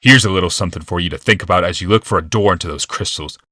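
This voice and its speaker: Man playing a smug, sharp-voiced scheming genius. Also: low-pitched, racing, no room sound, clean studio sound. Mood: taunting. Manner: casual, taunting, smooth explaining. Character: smug, casually cruel male genius, manipulative tormentor.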